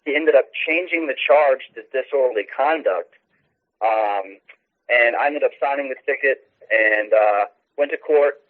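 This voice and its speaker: A man's voice: medium pitch, measured pace. American man on a tinny phone line. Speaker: activist guest, phone-in interviewee. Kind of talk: recounting and explaining in a radio interview. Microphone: telephone. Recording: muffled narrow phone line.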